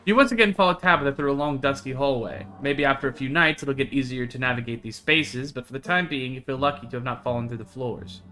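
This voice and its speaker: A man's voice: medium pitch, fast talker, hardly any pauses, bright, forceful tone, soft background music. A man streaming a visual novel, reading it aloud and reacting casually.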